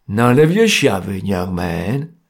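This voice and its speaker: A grim Cockney ringleader, voiced by a male narrator, with creaky, rough tone. He bosses people sternly and menacingly in few words. The delivery suits a storybook villain. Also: mid-range voice, sing-song, a little slow, darkish tone, exaggerated voice. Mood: stern, bullying. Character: grim, domineering male ringleader of bungling conspirators.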